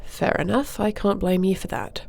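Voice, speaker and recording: A woman playing a dignified, dutiful young warrior princess in a fantasy audio roleplay, speaking formally and with measured, stately diction. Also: mid-range voice, gravelly, a little mellow, slight background noise, natural voice. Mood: dry, familiar.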